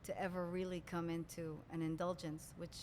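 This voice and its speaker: A woman's voice: fairly low pitch, little pitch movement, normal speed, a little mellow, long reverb tail, a little hiss or hum. A woman with an Israeli accent hosting an interview, effusive and affirming as she responds. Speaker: podcast host. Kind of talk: interview conversation.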